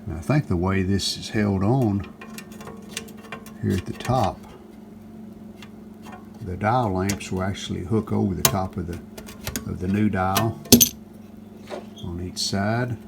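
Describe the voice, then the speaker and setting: A man narrating a hands-on restoration how-to in a casual, plainspoken way, often pausing mid-sentence. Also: low-pitched, creaky, background noise. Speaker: host of a restoration how-to video.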